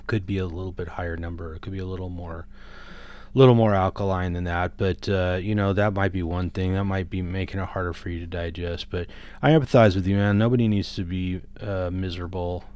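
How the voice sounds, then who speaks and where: A man in his fifties with a very low, smooth, resonant voice speaks off the cuff in a casual vlog style. He rambles conversationally and pauses to find his words, sitting close to the microphone. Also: steady tone, darkish tone. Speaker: vlogger giving diet advice. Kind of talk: casual unscripted vlog talk. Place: small room at home, indoors. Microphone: large microphone on a boom arm, close up.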